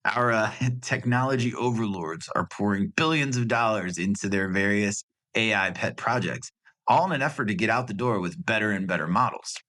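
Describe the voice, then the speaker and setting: Low, bright-voiced man presenting research. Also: normal speed. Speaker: graduate student presenter. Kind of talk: recorded class project presentation.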